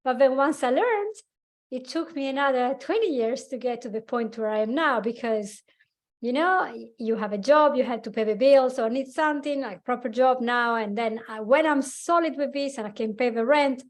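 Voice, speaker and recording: An Italian-accented woman in her forties chats as a podcast guest. Her voice sits a bit high, and she talks in an animated way, laughing often between her points. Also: normal speed. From Italy. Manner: animated, laughs often, slightly broken English. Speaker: guest, coach and hypnotherapist. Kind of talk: casual interview chat.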